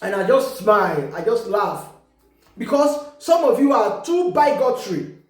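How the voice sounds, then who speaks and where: A woman's voice: a little high, big pitch swings, unhurried, faint music behind. A Nigerian woman from Ekiti with a Yoruba accent, speaking English as a second language and giving an emphatic opinion monologue with frequent repeated words and restarts. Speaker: online commentator. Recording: very echoey room.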